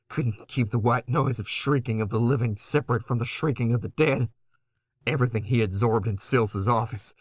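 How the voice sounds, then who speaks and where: A man's voice: on the low side, no echo, clean studio sound, close to an everyday voice. An actor playing a weary, haunted survivor who narrates his ordeal in a grim, terse first-person horror drama style.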